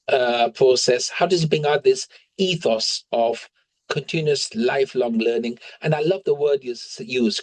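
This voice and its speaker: A man's voice: mid-range voice, dry recording, rough recording. Upbeat male interviewer, dry close sound. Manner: affirming and enthusiastic, asks questions with "right?". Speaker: podcast host, interviewer. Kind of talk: conversational interviewing.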